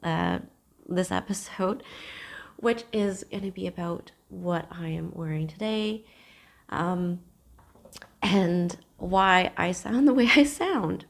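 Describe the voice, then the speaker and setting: A young woman hosting a knitting podcast from home. She is hoarse from a cold and talks gently to camera, with thoughtful pauses. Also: on the low side. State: hoarse, losing her voice from a cold. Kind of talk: casual chat to camera. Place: at home, seated.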